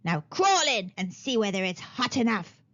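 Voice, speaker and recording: A woman voicing a wicked old witch in a storybook, croaking and cackling as she schemes and feigns kindness. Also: medium pitch, sing-song, normal speed, fairly bright tone, lo-fi sound. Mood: impatient, menacing.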